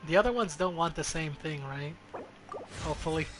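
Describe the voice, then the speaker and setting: A man's voice: a little high, normal speed, over music. A man giving casual, profane gameplay commentary as a streamer, muttering to himself as he plays. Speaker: video game streamer.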